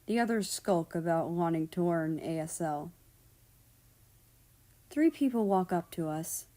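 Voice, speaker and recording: A woman's voice: fairly low pitch, expressive, measured pace, dark tone. A woman reading fan fiction aloud as a first-person narrator.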